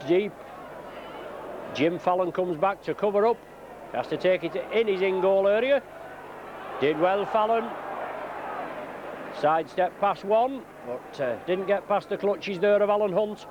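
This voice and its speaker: A man giving live rugby league commentary, slightly croaky from a cold, calling play by play with frequent "uh" fillers. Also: high-pitched, unhurried, pauses often. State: croaky from flu. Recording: dull lo-fi broadcast tape with noise.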